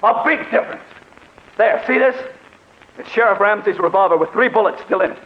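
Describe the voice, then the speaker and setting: An actor playing a principled, anxious but resolute doctor of science in a 1940s radio drama, speaking earnestly. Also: male voice, high voice, fairly quick, natural voice. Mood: resolute, threatening. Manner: earnest, urgent. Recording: muffled, faintly noisy old radio recording.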